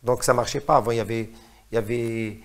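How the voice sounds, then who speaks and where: A man's voice: medium pitch, flat delivery, fast talker. A middle-aged man from Morocco, a singer, talks in a casual interview, hesitant, often repeating words as he searches for phrases.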